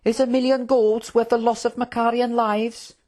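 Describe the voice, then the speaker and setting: Narrator playing an earnest, high-voiced, indignant prince. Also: level tone, moderate pace, a little mellow, lightly acted. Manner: formal, pleading, indignant. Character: earnest, principled young prince, easily outraged.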